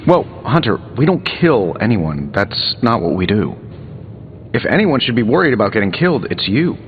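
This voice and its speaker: Cocky superhero inventor in a video game, quippy and sarcastic, voice swings all over, music behind him. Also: male voice, fairly low pitch, very animated, moderate pace. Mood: explaining, wry. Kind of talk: video game dialogue acting. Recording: slightly dull game audio under music.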